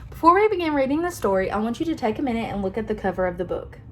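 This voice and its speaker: A young woman reading a picture book aloud to children, expressive and pausing to ask them questions. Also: on the high side, a little hiss or hum. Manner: expressive, asks listeners questions. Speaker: children's story reader.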